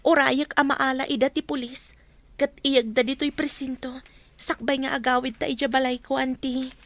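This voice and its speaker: A woman's voice: high-pitched, little pitch movement, moderate pace, a bit bright, no echo. A woman acting a wronged younger woman in an audio drama, hurt and insistent on justice. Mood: worried, subdued. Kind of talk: Ilocano radio drama acting.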